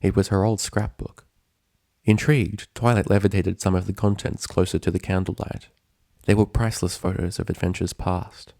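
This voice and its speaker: A man's voice: sits low, level tone, normal speed, gravelly, darkish tone, no echo, studio quality. A man reading a story aloud as a scripted narration. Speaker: fan fiction narrator.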